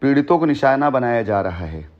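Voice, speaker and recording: A man's voice: mid-range voice, very animated, brisk. A man on a recorded phone call, explaining at length in an emphatic, long-winded way and repeating his phrases for weight. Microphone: telephone.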